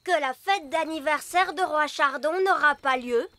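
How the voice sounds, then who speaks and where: Young elf boy, very high, bright, earnest, practical, French cartoon. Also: normal speed. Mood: curious.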